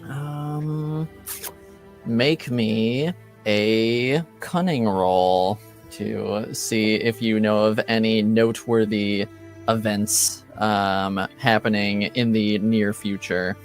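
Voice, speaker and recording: Slow, hesitant male game master. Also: fairly low pitch, fairly even intonation, faint music behind. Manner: hesitant, halting delivery. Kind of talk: improvised narration of tabletop roleplaying game.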